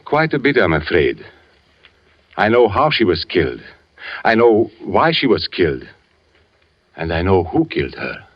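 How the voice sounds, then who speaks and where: An actor playing a gentle, brooding blind composer who speaks deliberately with haunting pauses in 1940s radio drama style. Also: male voice, low voice, huge pitch swings, exaggerated voice. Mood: sorrowful, ominous. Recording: muffled old radio recording with music.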